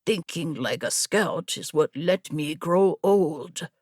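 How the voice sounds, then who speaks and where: Woman voicing a gruff, slow-spoken old samurai. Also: sits low, a little mellow, no echo, clean studio sound. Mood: stern, cautioning. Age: older. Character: gruff, weary old male samurai scout, cautious and bitter.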